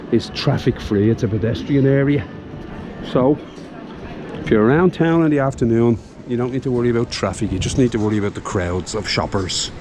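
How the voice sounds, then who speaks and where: A man's voice: mid-range voice, background noise. A man with a Dublin Irish accent giving a casual, enthusiastic walking tour of his city for a vlog, often tagging phrases with "yeah". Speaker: vlogger, tour guide. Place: busy outdoor city shopping street. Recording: outdoor street with crowd chatter.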